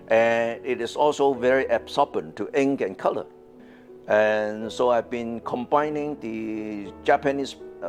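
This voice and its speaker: An older man from Hong Kong with a Hong Kong English accent, speaking about his own art in an interview and hesitating with many fillers and repeated words. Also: medium pitch. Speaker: artist. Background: soft guitar music.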